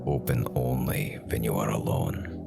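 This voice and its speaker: An actor playing a cold, ruthless older scientist in an audio drama, speaking formally and deliberately in a gravelly voice. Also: male voice, exaggerated voice. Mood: grave, resigned. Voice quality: very low, gravelly. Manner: formal, deliberate, clipped phrasing without contractions. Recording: loud music under the voice. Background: dramatic music.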